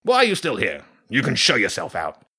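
A man voicing a huge, grumpy, lazy old badger king in a storybook reading, speaking slowly and gruffly with a husky voice. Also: fairly low pitch, very animated, pushed and bright, full character voice. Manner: slow, grumbling, gruff.

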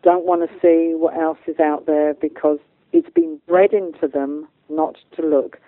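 A woman's voice: low voice, lively intonation, a little slow, no room sound. A woman speaking informally as a spiritual interview guest, earnest and emphatic in colloquial language. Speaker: interview guest, medium and channeller. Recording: narrow phone-like line, dry.

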